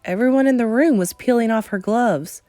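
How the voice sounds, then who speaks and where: A woman reading a children's novel aloud as dramatic narration, with suspenseful emphasis and deliberate pauses. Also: a little high, mellow, no room sound, studio quality. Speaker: storybook reader.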